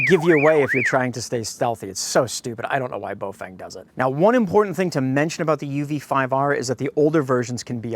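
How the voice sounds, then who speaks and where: A man's voice: mid-range voice, fairly bright tone, no room sound. An American man hosting a product review, speaking to viewers in a blunt, opinionated way. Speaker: host of a radio review.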